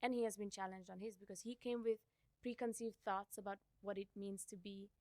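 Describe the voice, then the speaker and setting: A young woman from India whose first language is Gujarati, talking reflectively as a podcast guest and thinking aloud with soft laughs. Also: mid-range voice, fairly even intonation, a little fast, no room sound. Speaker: podcast guest, company CEO. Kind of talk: casual interview conversation.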